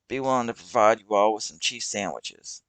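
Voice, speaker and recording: A man's voice: on the low side, normal speed, a bit bright, clean tone, dry recording, natural voice. A man voicing a brash young man who leads a gang, talking aggressively and with swagger.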